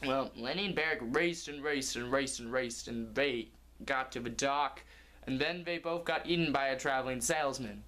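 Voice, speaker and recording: A man's voice: medium pitch, moderate pace, a bit bright. Young man telling an improvised story. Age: young adult. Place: living room, indoors.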